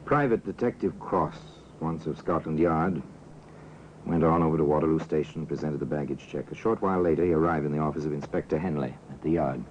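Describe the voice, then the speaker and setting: An actor playing a grave, measured narrator of crime tales in an old radio drama, on a hissy, muffled recording. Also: male voice, very deep voice, a little mellow.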